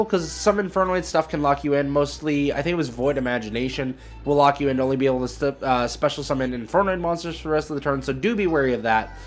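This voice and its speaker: Chatty young man commentating over music. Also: on the high side. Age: young adult. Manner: chatty, rambling, thinking aloud. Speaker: gaming video host. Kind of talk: casual gameplay commentary.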